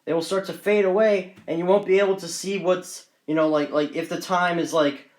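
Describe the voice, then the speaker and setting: A man's voice: sits high, steady tone, fairly quick. A man presenting his hobby collection in a casual, unscripted vlog, talking in a rambling, repetitive and enthusiastic way.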